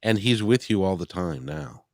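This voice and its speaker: A man's voice: fairly low pitch, animated, normal speed, vocal fry, a little mellow. A man hosting an interview, speaking in an earnest, firm and reassuring way. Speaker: interview host. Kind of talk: casual interview chat.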